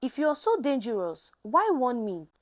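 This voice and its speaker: A fearless, troubled heroine acted by a woman storyteller in a dramatic tale. She speaks earnestly and defiantly in a high, lively voice. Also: moderate pace. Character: fearless young woman mechanic with troubling magical power.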